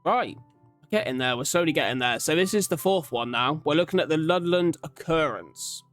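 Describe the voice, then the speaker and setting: A man's voice: a little high, expressive, moderate pace, a bit bright. A man hosting a casual ranking commentary, talking in a rambling, informal, conversational way. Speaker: YouTube reaction host. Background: background music.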